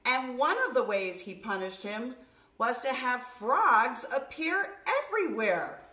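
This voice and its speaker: A middle-aged woman, a nursery school teacher, reading a story aloud to young children in an expressive, deliberate way. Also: on the high side. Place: furnished room at home, indoors. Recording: roomy indoor echo.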